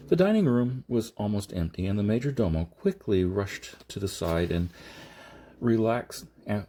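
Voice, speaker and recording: Low-voiced man reading with wide, swinging intonation. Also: dark tone, breathy edge. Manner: halting, stumbles and rereads phrases. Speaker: audiobook reader. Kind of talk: scripted narration of a science fiction novel.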